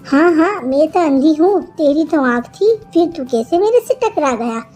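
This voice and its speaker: Casting: woman to play high-voiced cartoon sparrow vegetable seller, feisty, singsong, quick to scold, light music underneath. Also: animated, mellow. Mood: angry, scolding. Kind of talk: Hindi kids' cartoon character voice.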